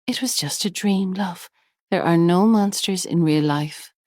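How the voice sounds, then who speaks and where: A woman voicing a patient young mother who speaks gently and reassuringly. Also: on the low side, a little slow, dry recording, clean studio sound, lightly acted. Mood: soothing.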